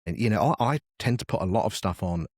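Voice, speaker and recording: A man's voice: fairly low pitch, expressive, fast, darkish tone, no echo, studio quality. A man being interviewed as a podcast guest, chatting casually, thinking aloud and rambling through examples. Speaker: podcast guest, podcast network manager.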